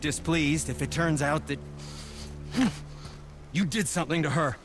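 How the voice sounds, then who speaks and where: An actor playing an awkward, polite dwarf craftsman in a video game, speaking in a nervous, halting, courteous way. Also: on the high side, over music, natural voice. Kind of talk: dramatic video game character acting.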